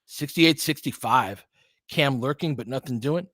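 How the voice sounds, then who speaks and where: Male fan commentator, mid-pitched, dry recording. Clipped live hoops play-by-play, openly partisan, slangy. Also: unhurried, a bit bright, no room sound.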